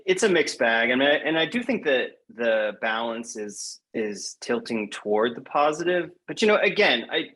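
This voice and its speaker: A man's voice: medium pitch, a bit bright. A man with a mid-range voice talks as a guest poet on a podcast. He is thoughtful and emphatic, building his points through restatement in a conversational interview style.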